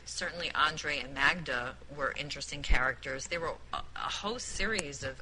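A woman giving an informal spoken book review in a group discussion, halting and repeating words as she makes emphatic points. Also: low-pitched, noisy background. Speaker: book club member who picked the book.

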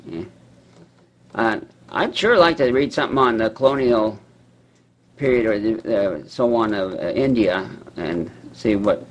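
A man with a husky, rough-edged voice talks casually in a reading group. He hesitates often as he hunts for the next word. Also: lowish voice, unhurried. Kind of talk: casual book club discussion.

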